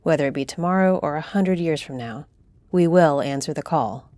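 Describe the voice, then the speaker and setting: Woman acting as stately, mellow-voiced council leader. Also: low voice, dry recording, lightly acted. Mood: solemn. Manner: formal, authoritative, deliberate. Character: stately female griffin-shifter council leader, solemn, fair-minded diplomat. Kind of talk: fantasy audio roleplay acting.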